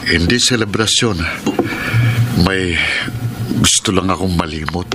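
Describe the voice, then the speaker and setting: An actor playing a distressed young husband in a classic radio drama, earnest and pained. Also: male voice, deep voice, a little slow, very noisy. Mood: despairing. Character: distressed young husband who suspects his wife. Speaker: lead character and letter writer. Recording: loud drama music underneath. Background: loud background music.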